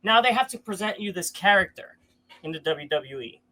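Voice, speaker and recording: A man's voice: sits high, lively intonation, brisk, bright, forceful tone, poor audio. A man with a nasal voice talking as a guest on a casual wrestling panel.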